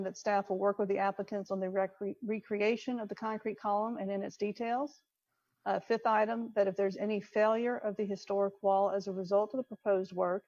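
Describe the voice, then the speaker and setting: A woman's voice: mid-range voice, darkish tone. A woman serving as a commission member, speaking and reading aloud in a formal meeting. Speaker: commission member making a motion. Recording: dull lo-fi online meeting audio.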